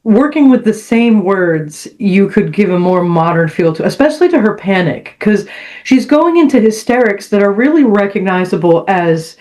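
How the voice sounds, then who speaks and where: A woman co-hosting a podcast in casual chat, expressive and apt to act out quotes as she tells things. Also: medium pitch, moderate pace. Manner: expressive, slips into quoted voices. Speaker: podcast host.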